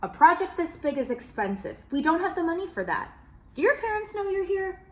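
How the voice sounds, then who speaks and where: A woman's voice: very high-pitched, very animated, brisk, voice pushed up. A woman voicing a curt, dismissive landlord in a children's storybook reading. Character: busy grown man, a brusque landlord. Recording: roomy echo.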